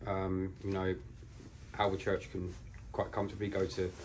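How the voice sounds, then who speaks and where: Deep, flat-toned male football manager. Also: normal speed, slight background noise, low-quality recording. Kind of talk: post-match interview. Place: small bare indoor room.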